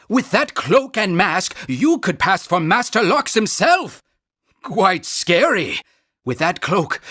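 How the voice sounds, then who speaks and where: Pompous little mayor, high voice, very lively intonation, genial, wordy, cartoon game. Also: male voice, no room sound, studio quality, full character voice. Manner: pompous, wordy, politely admonishing. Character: fussy, genial, absent-minded little male town mayor. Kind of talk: cartoon video game character acting.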